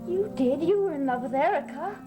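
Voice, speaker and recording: A wistful, lovesick young woman from a vintage TV soap opera. Her voice sits high and quietly insistent, with music clearly beneath. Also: lively intonation, brisk. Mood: yearning. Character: young woman devoted to a lost love, wistful. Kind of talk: 1960s soap opera acting. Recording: old TV soundtrack with music beneath.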